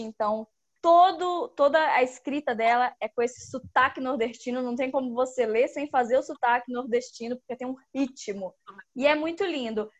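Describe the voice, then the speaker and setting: A woman co-hosting a podcast, recommending a book in a lively, enthusiastic way. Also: high-pitched, lo-fi sound. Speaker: podcast co-host.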